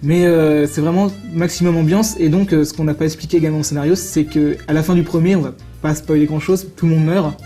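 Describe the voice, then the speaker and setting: A man's voice: fairly high pitch, fairly even intonation, quick pace, hardly any pauses, warm tone, soft background music. A man explaining things casually as an interview guest.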